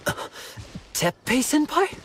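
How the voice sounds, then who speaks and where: A woman's voice: takes it slowly, a little hiss or hum, lightly acted. A woman playing a discouraged young resistance trainee, glum and hesitant, in an anime game dub. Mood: hesitant, hopeful.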